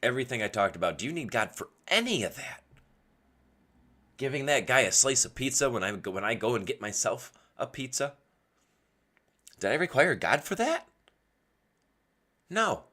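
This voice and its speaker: A man hosting a casual, opinionated solo podcast in an emphatic way, asking himself rhetorical questions, his voice strained and partly lost from yelling. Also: mid-range voice, sing-song, normal speed, pauses often. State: losing his voice. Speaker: podcast host.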